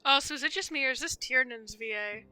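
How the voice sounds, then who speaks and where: A woman's voice: high voice, fast talker, bright, forceful tone, clear, resonant tone, no room sound. A woman game streamer giving casual livestream commentary, conversational and thinking aloud. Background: faint game music.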